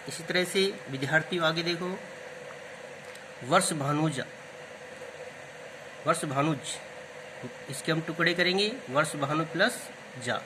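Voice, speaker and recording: Male teacher, patient and repetitive, fairly high voice, air conditioner noise in the room. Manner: explains step by step, repeats key terms. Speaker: Hindi literature teacher. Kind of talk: classroom-style explanation of word meanings.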